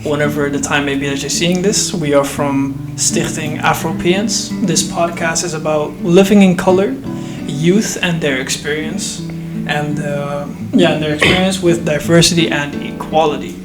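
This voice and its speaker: A nineteen-year-old man hosting a casual group podcast, relaxed and laughing easily, with many fillers. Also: fairly high pitch, normal speed, few pauses. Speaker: podcast host. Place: room shared with three guests. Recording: echoey room, mic at a distance, guitar music.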